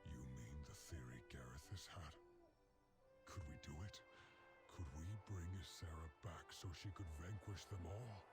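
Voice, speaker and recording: An actor playing a weary, grieving old druid in a fantasy game, speaking solemnly and deliberately over music. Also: male voice, extremely low pitch. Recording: loud background music.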